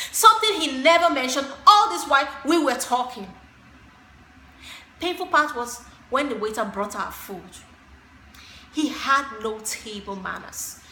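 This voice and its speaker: A woman's voice: high-pitched, bright, forceful tone, full character voice. A woman acting an exasperated, dramatic single woman in a monologue, confiding in a girlfriend with theatrical, emphatic delivery. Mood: outraged, disgusted. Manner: theatrical, emphatic, drawn-out stressed words. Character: exasperated, dramatic single woman venting about a disastrous date. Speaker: actress performing a monologue. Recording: roomy echo, faint background noise.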